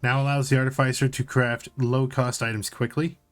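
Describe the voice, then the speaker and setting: A man's voice: medium pitch, flat delivery, fairly quick. Male co-host, mid-range voice, casual conversational delivery, faint music bed. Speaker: podcast co-host. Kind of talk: casual chat.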